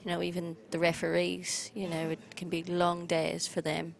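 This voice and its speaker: A woman's voice: lowish voice, monotone, normal speed, creaky. A woman co-commentating live on a pool match in a chatty way, with frequent fillers.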